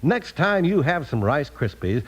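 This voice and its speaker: A man announcing in scripted vintage radio style, with a folksy, animated salesman's delivery. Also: fairly high pitch, measured pace. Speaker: announcer and cereal pitchman. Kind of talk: 1950s radio announcing, scripted narration and ads. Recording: dull vintage radio recording.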